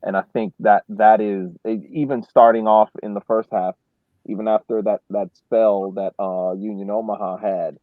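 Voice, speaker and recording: A man on a thin, phone-like connection giving casual soccer analysis, deliberate and repeating words for emphasis. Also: fairly low pitch, little pitch movement, dry recording. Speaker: sports podcast co-host. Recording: thin, dry phone-like line.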